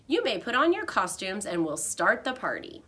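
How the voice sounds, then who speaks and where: A woman's voice: mid-range voice, very animated, normal speed, bright, forceful tone, breathy edge, full character voice. A woman voicing a firm, orderly female schoolteacher who gives instructions with composure in a children's storybook. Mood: matter-of-fact.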